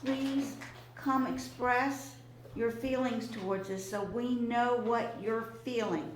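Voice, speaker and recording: A woman's voice: on the high side, steady tone, slow, a little hiss or hum. A woman chairing a town meeting, urging the audience to come forward and speak. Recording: echoey meeting room.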